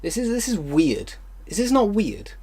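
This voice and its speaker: A man hosting an online video, reading fan fiction aloud haltingly with exasperated, disbelieving commentary. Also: high-pitched, very animated, a crowd in the background.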